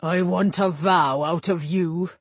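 A big, blustering warrior king of a fantasy audiobook, acted out by a woman, domineering and quick to anger. The voice is gruff and husky, with a commanding rustic bluntness. It is recorded dry and close. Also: lowish voice, steady tone, no echo, heavily acted. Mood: furious, commanding. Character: massive grey-haired warrior king, gruff, domineering, hot-tempered father.

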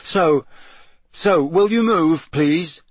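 A man's voice: a little high, extremely slow, breathy edge, no echo, pitched above the performer's own voice, heavily acted. A man playing a hesitant, polite young hero in a storybook, speaking in a tentative, questioning way. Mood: pleading.

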